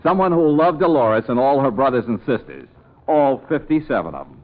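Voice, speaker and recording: An actor playing a breezy, smooth-talking fixer who is helpful but slightly exasperated, in an old-time radio comedy. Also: male voice, mid-range voice, big pitch swings, a little fast, lightly acted. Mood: reassuring, surprised. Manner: glib, chuckling, smooth-talking. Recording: tinny, noisy vintage radio recording.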